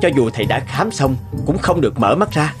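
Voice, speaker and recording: A man playing a calm, fair schoolteacher in a cartoon, measured and instructive. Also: mid-range voice, close to an everyday voice. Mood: calm, firm. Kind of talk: cartoon dubbing. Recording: clear music behind.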